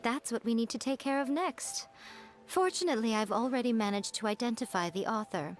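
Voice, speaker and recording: A woman's voice: on the high side, soft background music, natural voice. A woman playing a sly, self-assured shrine maiden in a video game, poised and teasing in manner. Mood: composed. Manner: teasing, poised, unhurried explaining. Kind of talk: video game character acting.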